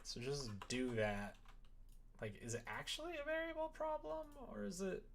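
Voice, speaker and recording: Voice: mid-range voice, wildly swooping pitch, normal speed, vocal fry, slight background noise. A streamer giving casual live coding commentary, thinking aloud, muttering to themselves and breaking into playful exclamations. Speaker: streamer making a visual novel.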